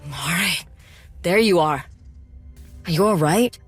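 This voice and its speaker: Guarded, wry warrior mechanic. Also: female voice, lowish voice, lively intonation, slow, soft background music. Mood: guarded concern. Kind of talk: video game cutscene acting.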